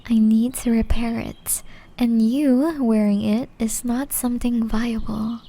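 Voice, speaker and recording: A gentle, ageless witch voiced by a woman speaks in a fairly high but soft and mellow voice. She takes her time, often slipping into a breathy whisper. Her manner is caring and tenderly teasing, made for close, intimate ASMR roleplay. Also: steady tone, unhurried. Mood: firm, caring. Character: gentle, centuries-old witch, caring, softly chiding healer.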